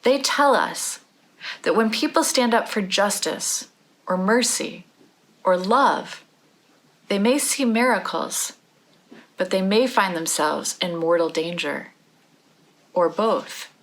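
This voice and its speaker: Slow-paced woman reading aloud to children. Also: medium pitch, expressive, a bit bright, poor audio. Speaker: author reading own book aloud. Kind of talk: children's storybook read-aloud.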